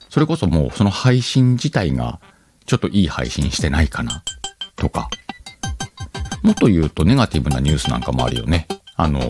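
A man hosting a podcast, chatting casually alone in an informal, playful way as he thinks aloud. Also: low-pitched. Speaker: podcast host. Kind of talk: casual solo chat. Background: music.

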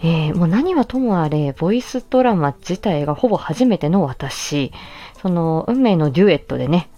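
A woman's voice: fairly low pitch, animated, moderate pace, dark tone, highs cut off. A woman hosting a casual solo audio stream, talking in a chatty, polite, explanatory way. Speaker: host and amateur voice actress.

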